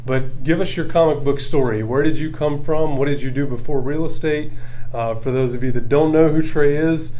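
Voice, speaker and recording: A man's voice: on the high side, moderate pace, mellow. A man hosting a casual interview podcast, talking in a chatty, enthusiastic way and laughing often. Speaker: podcast host. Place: indoor office. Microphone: podcast mic.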